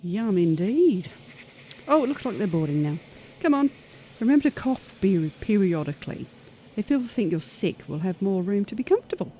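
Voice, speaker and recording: A woman's voice: medium pitch, very animated, moderate pace, warm tone, slight background noise, lightly acted, low-quality recording. A woman playing a practical, upbeat superhuman monster adventurer in an audio horror-comedy, with a folksy, coaxing manner. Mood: practical, teasing. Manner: folksy, plain-spoken, coaxing.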